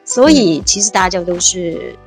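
A woman's voice: fairly low pitch, lively intonation, measured pace, soft background music. A woman, talking reflectively as an interview guest and laughing easily. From Taiwan. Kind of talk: casual interview chat.

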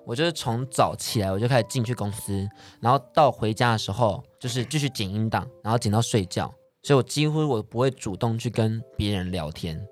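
A man's voice: fairly low pitch, brisk, hardly any pauses. A man with a Taiwanese Mandarin accent hosting a casual podcast chat, blunt and self-deprecating, swearing freely. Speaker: podcast host.

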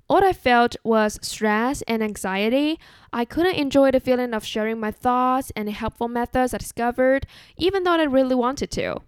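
A young woman with a Chinese accent hosting a solo podcast in an earnest, measured, relaxed way. English is not her first language. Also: on the high side, smooth, no room sound, studio quality. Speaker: podcast host.

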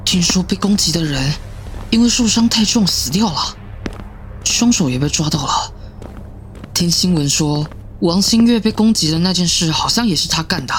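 An actress playing a friendly, chatty young classmate in a radio drama, talking with easy concern. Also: female voice, lowish voice, animated, normal speed, a little hiss or hum, lightly acted. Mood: gossipy.

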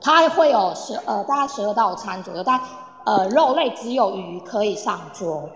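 A woman's voice: medium pitch, animated, moderate pace. A woman giving an informal, explanatory talk, addressing her listeners directly like a teacher. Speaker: guest speaker explaining Polish culture. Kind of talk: informal explanatory talk to a live online audience. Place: live online audio room, reverberant sound. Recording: echoey, long reverb.

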